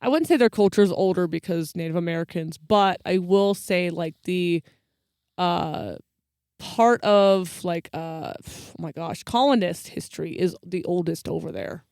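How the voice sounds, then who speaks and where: High, clear-voiced male podcast co-host. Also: a little slow, a little mellow, no room sound, studio quality. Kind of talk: casual chat.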